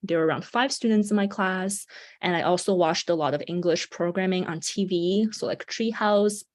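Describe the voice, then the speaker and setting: A woman's voice: on the low side, moderate pace. Upbeat young woman giving an online talk. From China. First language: Mandarin Chinese. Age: 20s. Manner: upbeat, with frequent um and uh fillers. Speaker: presenter. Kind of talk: online presentation. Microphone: video call.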